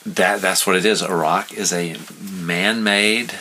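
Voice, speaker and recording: A man, telling history in a relaxed chat, with hesitant restarts and chuckles at his own jokes. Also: low-pitched, a little slow, a little hiss or hum. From United States. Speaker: podcast guest explaining history. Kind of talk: casual storytelling chat.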